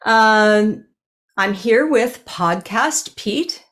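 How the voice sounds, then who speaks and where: A woman's voice: on the high side, animated, drawn-out words, a bit bright. A woman in her sixties hosting a casual podcast chat over a video call from her home, talking in an earnest, chatty way. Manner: chatty, earnest, gestures with hands while talking. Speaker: animal communicator and podcast host. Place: home room with bookshelves. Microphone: webcam on a video call.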